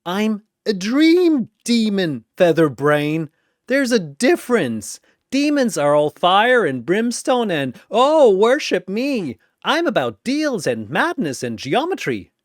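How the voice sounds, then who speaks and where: A gleeful, menacing cartoon dream demon, acted by a man, pitched high with wild swoops. He taunts and mocks theatrically, in a close, dry sound. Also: huge pitch swings, takes it slowly, no room sound, heavily acted. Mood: mocking glee.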